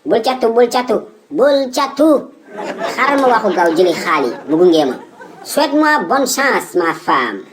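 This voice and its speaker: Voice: mid-range voice, normal speed, a crowd in the background, lightly acted, rough recording. An actor voicing a jittery, boastful old male war veteran with a Senegalese accent in a comedy cartoon. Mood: bossy, boastful. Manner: excitable, exclamatory.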